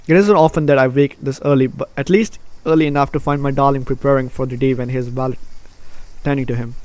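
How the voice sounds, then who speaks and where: A man's voice: mid-range voice, a little mellow, dry recording. A man hosting a let's play, reading visual novel narration aloud and dropping in wry, teasing reactions.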